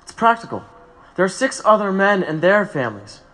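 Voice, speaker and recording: An actor playing a principled condemned prisoner who refuses to ask for mercy, firm and commanding. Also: male voice, high voice, very animated, a little fast, a bit bright, rough recording. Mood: resolute. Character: principled condemned male radical, stubborn.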